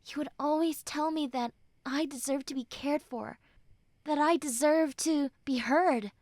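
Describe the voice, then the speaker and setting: A high, young female voice acted by a woman in an intimate, dry roleplay recording. She is a tough tsundere friend letting her guard down. She speaks slowly and earnestly, with hesitant pauses and soft, tender reassurance. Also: no echo. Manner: earnest, hesitant, soft, often dropping to breathy near-whispers. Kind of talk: intimate roleplay audio acting.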